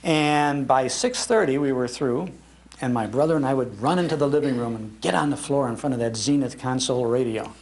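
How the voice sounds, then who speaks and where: A man's voice: mid-range voice, brisk, a little mellow. An older American man giving a nostalgic, enthusiastic storytelling talk about the past. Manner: enthusiastic, acts out quoted lines. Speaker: speaker on old-time radio history. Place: public library talk.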